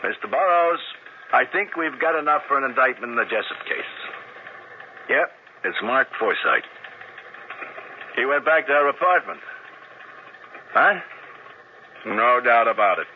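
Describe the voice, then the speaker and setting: A brisk police sergeant working the phone. His voice moves briskly up and down as he talks business. The sound is tinny, like an old radio broadcast. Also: medium pitch, lively intonation, slight background noise. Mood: businesslike.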